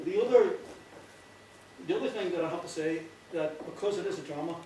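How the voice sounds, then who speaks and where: A man with a Northern Irish accent giving an informal, earnest speech, often halting and repeating himself. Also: a little high, warm tone. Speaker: playwright giving a thank-you speech. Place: echoey indoor hall before an audience. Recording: very echoey, distant, noisy with clicks.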